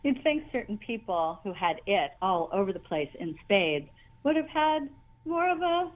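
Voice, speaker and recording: An older woman with a naturally high voice talks casually as an interview guest. She rambles, restarts her sentences and laughs often. The audio is a bit dull and lo-fi. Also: very animated, moderate pace.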